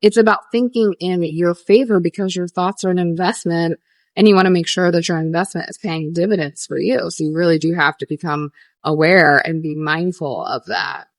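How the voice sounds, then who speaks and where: A young adult woman coaching viewers on a livestream, earnest and repetitive, answering questions with lively rises. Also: on the low side, moderate pace, dry recording, clean studio sound. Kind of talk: casual livestream teaching, reading viewer questions.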